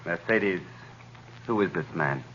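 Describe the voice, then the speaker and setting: A man's voice: low-pitched, expressive, slow pace, fairly bright tone, slightly rough, exaggerated voice. An actor playing a cold, hostile, jealous young man in 1930s radio drama style. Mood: cold hostility. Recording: muffled, noisy 1930s radio broadcast.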